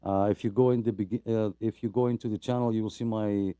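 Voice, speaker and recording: A man hosting a live radio net, talking in a casual, rambling way with plenty of fillers. His voice is dark and mellow, smooth and resonant, and it sounds very dry and close to the mic. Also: fairly low pitch, fairly even intonation, fast talker, no room sound. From Greece. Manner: rambling, apologetic, chatty with many fillers. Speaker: net host.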